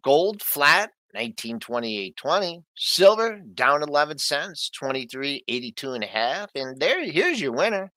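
A man hosting a pre-market trading show, briskly running through price quotes and chatting with his co-hosts. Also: on the high side, very animated, bright, forceful tone, no echo. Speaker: show host. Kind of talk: market news rundown and co-host chat.

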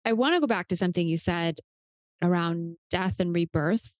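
A woman's voice: on the low side, normal speed, no room sound. Affirming woman podcast host, dry close sound. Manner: warmly affirming, spiritual. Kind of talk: podcast interview.

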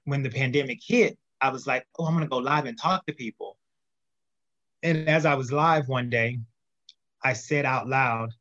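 A man speaking as a podcast guest in a casual interview, telling animated stories. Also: medium pitch, highs cut off. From United States. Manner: animated storyteller, acts out quotes.

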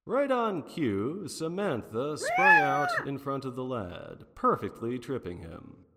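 A man's voice: fairly high pitch, wildly swooping pitch, takes it slowly, heavy background noise, heavily acted. A man playing a wry, unflappable private detective in a 1940s noir radio drama, with a theatrical, wordy manner. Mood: storytelling. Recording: long reverberant hall sound.

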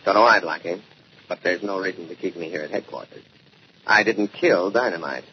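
A man's voice: deep voice, fairly quick, close to an everyday voice. A man playing a businesslike, defensive contractor and murder suspect in a 1940s radio drama. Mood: defensive, earnest. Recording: muffled old-time radio recording, faint noise.

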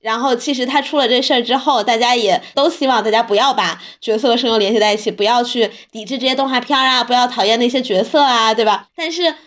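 A woman's voice: a little high, quick pace, few pauses, fairly bright tone. A woman co-hosting a podcast in casual panel chat, with an informal, teasing way of talking. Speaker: podcast host.

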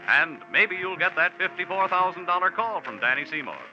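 A man's voice: sits high, expressive, fairly quick, sharp tone. A man announcing in a scripted, formal 1940s radio style. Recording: dull 1940s radio recording, music.

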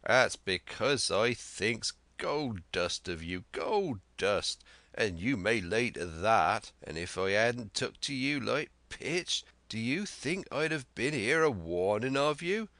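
A man voicing a sly, smooth-talking old one-legged pirate cook who coaxes in rough sailor talk, for a storybook reading. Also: lowish voice, expressive, slow pace, fairly bright tone, dry recording, heavily acted. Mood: coaxing.

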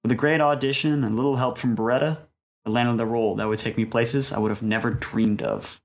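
A man's voice: medium pitch, fairly even intonation, brisk, vocal fry, close to an everyday voice. A man playing a jaded young Italian-American mobster who dreams of acting, telling his story as a wry dramatic monologue. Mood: wry, bored. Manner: wry, world-weary storytelling with wiseguy slang. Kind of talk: dramatic scripted first-person monologue.

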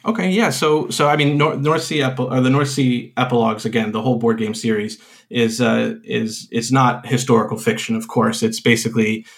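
A man who designs games talks as a podcast guest at an ordinary, mid-range pitch. He rambles through his explanation with constant uhs, restarts and trailing phrases. His tone stays casual and chatty. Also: little pitch movement, fairly quick, vocal fry. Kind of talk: casual interview chat.

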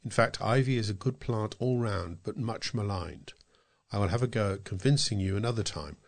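A man's voice: on the low side, moderate pace. A man with a British English accent reading scripted local news and nature pieces aloud as a volunteer reader.

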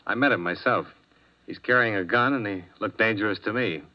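A man's voice: on the low side, brisk, lightly acted. A man playing a wry, tough insurance investigator in a 1950s radio drama, narrating and talking in a clipped, dry, wisecracking way. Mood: clipped, businesslike. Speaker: detective lead and narrator. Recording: muffled old 1950s radio recording.

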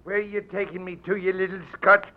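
An actor playing a big, drunken, hot-tempered Irishman, rough and blustering, in 1940s radio style. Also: sits high, level tone, fast talker, close to an everyday voice. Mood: surly. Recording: muffled 1940s radio broadcast.

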